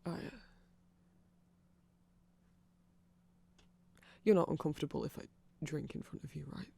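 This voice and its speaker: A performer as a creaky-voiced, flustered nerdy vampire. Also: mid-range voice, rapid-fire, dark tone. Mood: awkward, hesitant. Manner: hesitant, flustered, stammering, apologetic. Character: nerdy, awkward, polite young-sounding vampire shopkeeper. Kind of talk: ASMR roleplay, rambling casual chat.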